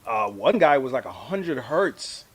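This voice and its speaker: A man's voice: medium pitch, sing-song, unhurried, husky. Informal man with a mid-range voice. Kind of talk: casual chat on a livestream.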